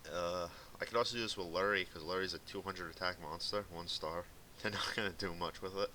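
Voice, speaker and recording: A young man with a light Boston accent talks in a low voice with a bright, forceful edge. He rambles in a laid-back way with fillers, as if giving casual commentary on his game at home. A faint hiss sits under the recording. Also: steady tone, a little fast. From Massachusetts. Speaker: gaming video commentator. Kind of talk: casual commentary over gameplay.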